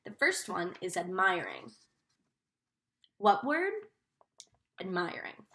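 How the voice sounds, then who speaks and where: A woman teacher reading a storybook aloud expressively, in a deliberate, instructive manner. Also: on the low side, very animated, sharp tone. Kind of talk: expressive storybook read-aloud with comprehension questions.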